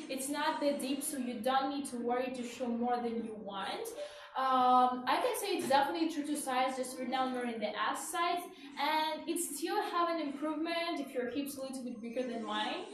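A woman in her twenties from Ukraine with a Ukrainian accent, presenting products on a casual live stream in a chatty, halting, repetitive way. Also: high-pitched, recorded from a distance. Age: 20s. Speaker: live shopping host. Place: small indoor studio with clothes rack. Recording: echoey room from afar, faint noise.

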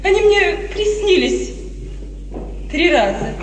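Very high-voiced innocent young bride. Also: female voice, sing-song, slow, distant mic, heavily acted. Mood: dreamy, uneasy. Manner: girlish, theatrical, emotional. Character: innocent, excitable young bride, dutiful tender daughter. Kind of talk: 1950s radio drama acting. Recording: noisy echoey 1950s radio drama recording.

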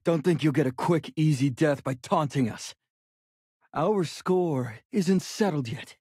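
An actor playing a stern, vengeful young male adventurer in a video game, husky and firm with restrained anger. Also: on the high side, unhurried, warm tone, no room sound, clean studio sound. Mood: cold anger. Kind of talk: video game character acting.

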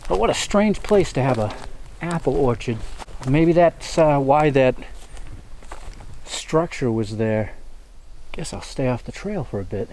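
Guy in his 40s hiking in the woods, wondering out loud, mic on his chest, bit of outdoor hiss. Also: medium pitch, expressive, measured pace, long pauses. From New England. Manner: musing, curious, thinking aloud as he walks. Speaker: hiking vlogger. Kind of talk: casual walking narration to camera. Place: outdoors in forest on a mountain. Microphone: body-worn action camera.

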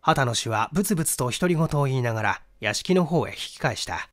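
A man narrating a mystery novel in a theatrical, deliberate storytelling manner. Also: medium pitch, animated, a bit bright. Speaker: audiobook narrator and stage actor.

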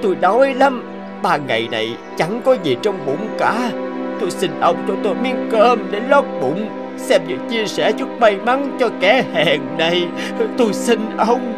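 A man's voice: high voice, lively intonation, unhurried. An actor playing a humble old beggar man in a cartoon, weary, pleading and grateful. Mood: pleading, weak. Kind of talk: cartoon dubbing. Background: music.

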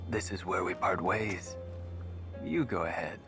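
A solemn, brooding young stranger in a video game. He speaks slowly and deliberately in a deep, hushed voice, poetic and secretive, over loud music. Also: expressive. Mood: haunted. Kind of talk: video game cutscene acting.

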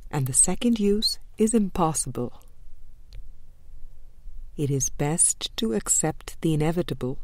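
A woman reading a spiritual book aloud in a measured, deliberate way, pausing between short phrases. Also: low voice, a little mellow, dry recording. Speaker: audiobook reader.

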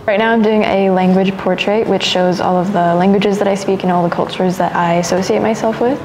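A young woman in her late teens, a student, describing the artwork she is making at a classroom table. She speaks in a thoughtful, measured way with many "um" pauses. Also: medium pitch, steady tone. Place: classroom indoors at a work table. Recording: room ambience noise.

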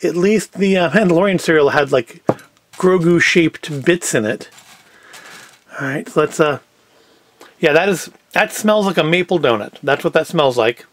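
A man's voice: a little high, expressive, normal speed, no echo. A man giving a casual, unscripted product review as host, with wry, self-deprecating asides. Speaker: host of a food review show. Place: indoor tabletop set. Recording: clear background noise, packaging crinkles. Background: clicks and crinkling packaging.

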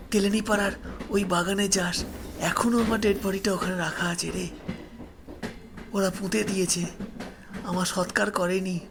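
A man's voice: high-pitched, vocal fry, noisy background. A man voicing the grieving ghost of a betrayed young man, plaintive and imploring, repeating himself with heavy feeling.

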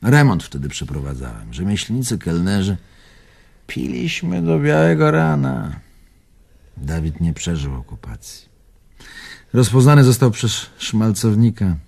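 An actor playing an old Warsaw tavern owner and spirited war survivor in a radio drama, earthy and raspy, reminiscing in clipped phrases. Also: male voice, lowish voice, very animated, a little slow, darkish tone, heavily acted. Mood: nostalgic. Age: older. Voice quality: gravelly, raspy.

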